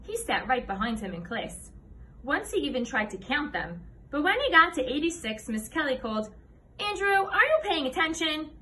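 Bright, animated woman reading a storybook. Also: fairly high pitch, normal speed, rough recording. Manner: animated, clearly phrased storytelling. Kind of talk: expressive children's storybook read-aloud. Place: indoor classroom. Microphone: camera or phone a few steps away.

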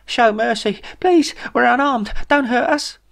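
A cowardly captive acted by a man, his voice pushed very high. He whimpers and grovels for his life in a comic audiobook. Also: a little slow, gravelly, fairly bright tone, full character voice. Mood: terrified begging. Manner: whimpering, pleading.

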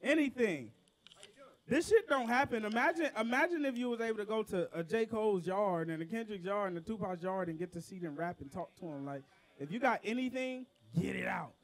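A man, a rapper, chatting loosely and excitedly with his audience between songs at an outdoor backyard show, profane and quick in bursts. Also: high voice. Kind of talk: casual banter with a live audience.

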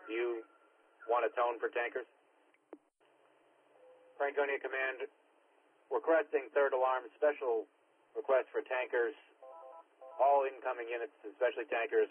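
A man's voice: medium pitch, fairly even intonation, normal speed, long pauses, a little hiss or hum. A man working as an emergency dispatcher, giving clipped, procedural calls over a two-way radio, repeating units and times. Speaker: fire and ambulance dispatcher. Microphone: dispatch radio microphone. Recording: narrow, tinny scanner radio audio.

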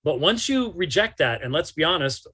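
A man's voice: a little high, sing-song, moderate pace, pushed and bright, slightly rough. A man in his fifties giving live religious commentary, emphatic and incredulous, repeating phrases for stress. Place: small motorhome interior.

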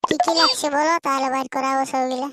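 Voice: sits high, fairly even intonation, fast talker, very noisy, natural voice. A performer voicing an eager, chatty village student boy in a comedy cartoon, with a rural Purulia Bengali accent and colloquial banter. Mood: explaining.

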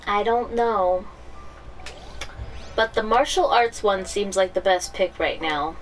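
A woman gives casual commentary on a game playthrough at a slow, hesitant pace, often trailing off. She sounds a little away from the mic, with faint game ambience behind her. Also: medium pitch, distant mic, a little hiss or hum. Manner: hesitant, trailing off while reading aloud. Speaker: gaming streamer.

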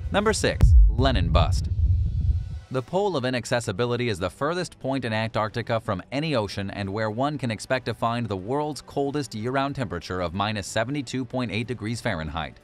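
Man narrating over background music. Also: medium pitch. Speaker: narrator of a list video. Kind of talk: scripted documentary-style narration.

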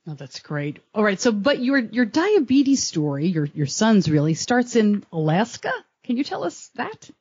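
A woman's voice: moderate pace, hardly any pauses, slightly rough, no room sound, highs cut off. A woman hosting a podcast, reading a sponsor spot and interviewing a guest in an upbeat, laughing manner from a home studio microphone. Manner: upbeat, laughs often, animated pitch swings. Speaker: podcast host.

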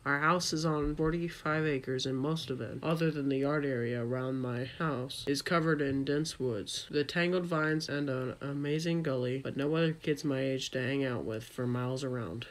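A man's voice: mid-range voice, steady tone, moderate pace, hardly any pauses, close to an everyday voice. A man playing an enthusiastic middle-school tech-geek boy telling his story in an audio drama, casual and youthful. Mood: casual, eager.

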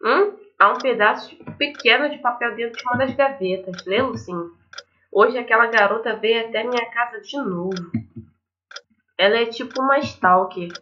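Bright-voiced young Brazilian woman gaming. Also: fairly high pitch, moderate pace, slight background noise. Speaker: gaming channel host. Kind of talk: reading a visual novel aloud with casual asides. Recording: dull lo-fi microphone, faint music. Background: faint game music.

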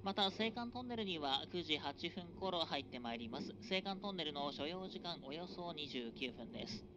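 A man announces stops and connections over a train's PA in a formal, drawn-out cadence. The sound is dull and muffled, as if picked up from a seat. A loud rumble of the moving train sits behind him. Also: expressive. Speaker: train conductor. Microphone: train PA system recorded from a passenger seat. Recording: dull, noisy onboard PA recording.

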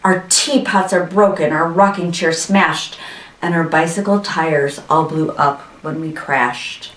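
A woman's voice: low-pitched, measured pace, a bit bright. Tiny storybook mayor, acted by a woman. Troubled, timid, pleading; recorded at a distance in an echoing room. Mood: woeful. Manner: plaintive, pleading. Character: tiny, troubled, scared mayor of speck-sized folk. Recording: roomy echo, distant microphone.

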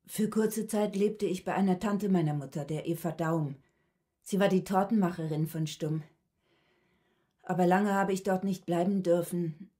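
Woman acting tired withdrawn cook in a radio play, lowish mellow voice, quiet and plain. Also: natural voice. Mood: subdued, matter-of-fact. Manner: quiet, halting, reticent, matter-of-fact. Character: subdued, weary cook in her thirties, a withdrawn patient. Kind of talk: German radio drama acting.

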